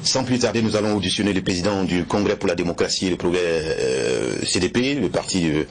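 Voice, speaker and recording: A man, hosting a formal political interview with measured, formal questions. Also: sits low, lively intonation, slight background noise. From Burkina Faso.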